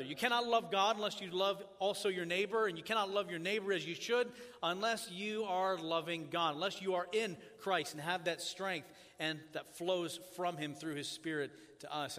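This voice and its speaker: A man who grew up in Tanzania, preaching as a pastor in a church, praying and then teaching in a measured, earnest cadence. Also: high-pitched, a bit bright. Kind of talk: sermon with opening prayer. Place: church sanctuary. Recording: church hall reverb.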